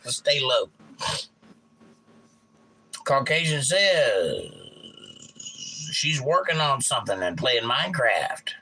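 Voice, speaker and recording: Hoarse older man, slow folksy talk. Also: mid-range voice, big pitch swings, low-quality recording. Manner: rambling, folksy storytelling. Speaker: livestream host. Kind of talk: casual chat, reading viewer comments. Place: home livestream with guitar. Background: loud guitar playing.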